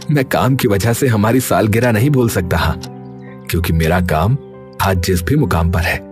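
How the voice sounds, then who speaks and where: A man voicing a kind, eager village jalebi seller in a cartoon story. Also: on the low side. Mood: affectionate. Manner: eager, pleading and hawking loudly. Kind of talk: cartoon voice acting. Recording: faint music behind.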